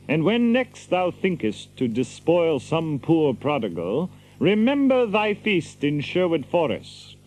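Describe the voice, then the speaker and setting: An actor playing a bold, jovial outlaw leader in an audio drama, teasing with theatrical mock courtesy in archaic English. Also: male voice, fairly high pitch, darkish tone, rough recording. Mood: wry triumph.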